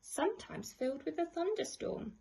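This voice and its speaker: A woman reading a rhyming picture book aloud in an expressive, measured and dramatic storytelling style. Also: sits high, vocal fry. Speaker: storytime reader.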